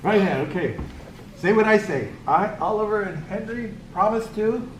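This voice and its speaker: A woman's voice: medium pitch, lively intonation, normal speed. A woman park ranger leading an oath outdoors, playful and laughing as she coaxes children to repeat after her. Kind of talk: casual outdoor oath ceremony with kids. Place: outdoors at a historic fort site. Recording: echoey, distant, noisy outdoor phone video.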